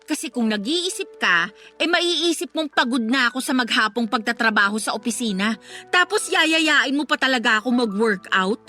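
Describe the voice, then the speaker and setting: High, bright-voiced complaining girlfriend, radio drama. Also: expressive, moderate pace, faint music behind. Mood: combative. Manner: complaining, combative. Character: sharp-tongued young woman, girlfriend.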